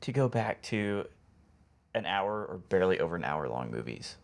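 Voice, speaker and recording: A man with a fairly low voice and a lot of vocal fry talks as a podcast co-host. He is conversational and opinionated, laying out his reasoning as he goes. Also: very animated, moderate pace. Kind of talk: casual chat.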